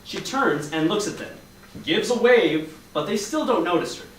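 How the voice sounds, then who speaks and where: A man's voice: high-pitched, animated, moderate pace. A man reading stage directions as narrator of a comic play at a staged reading. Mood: narrating. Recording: echoey hall from far off, faint noise.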